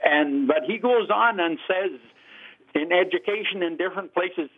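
A man's voice: sits high, normal speed, husky. Rambling male caller on a tinny phone line. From Canada. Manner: rambling, hesitant, many fillers. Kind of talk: phone-in question to a radio show.

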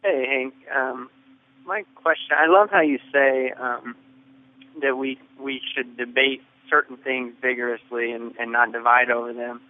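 A man's voice: mid-range voice, steady tone, unhurried, dry recording. A man calling in to a radio show, asking a question hesitantly with fillers and restarts. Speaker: caller. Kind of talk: call-in question. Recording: tinny phone line.

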